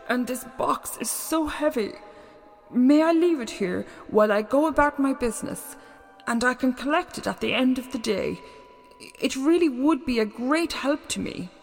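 A woman voicing a courteous, weary and anxious male messenger god in a storybook, formal, earnest and deliberate. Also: sits high, pitched above the performer's own voice. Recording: long reverb, music underneath.

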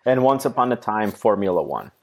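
A man's voice: lowish voice, sing-song, fairly quick. A man from Colombia co-hosting a podcast, chatting with wry, dry humour. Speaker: podcast co-host. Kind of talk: casual podcast chat.

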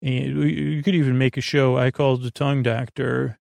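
A man hosting a podcast with a meandering bedtime monologue, halting and digressive, trailing off and restarting his sentences in a creaky voice. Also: medium pitch, level tone, darkish tone, no room sound, clean studio sound. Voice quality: creaky, gravelly. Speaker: podcast host.

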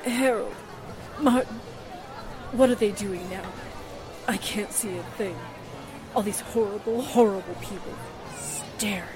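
An actress playing a grief-stricken middle-aged widow and former film star in a melodramatic 1940s radio drama style. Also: female voice, medium pitch, over music, a crowd in the background, natural voice. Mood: distraught, insistent. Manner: melodramatic, anguished, drawn-out delivery.

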